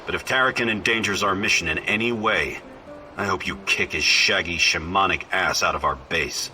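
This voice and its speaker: An actor playing a gruff, suspicious, hard-boiled male veteran soldier in a video game, blunt and profane. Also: sits low, normal speed, sharp tone, faint music behind. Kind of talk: video game character acting.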